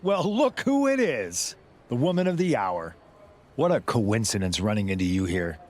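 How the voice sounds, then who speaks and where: A man's voice: fairly low pitch, big pitch swings, normal speed, background noise. A man playing a persuasive rebel wrestler in a video game cutscene, earnest and knowing as he presses his case. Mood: persuasive, intense. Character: persuasive male rebel wrestler leading a mutiny.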